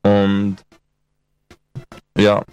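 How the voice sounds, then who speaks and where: A man's voice: lowish voice, completely flat delivery, extremely slow, darkish tone, clean tone, soft background music. A man co-hosting a casual chat, giving explanations and dry joking asides. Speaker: podcast co-host.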